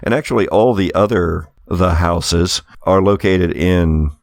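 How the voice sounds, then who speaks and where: A man improvising narration as a game master at a tabletop game, phrasing things in a halting, thoughtful way. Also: low voice, no echo, studio quality.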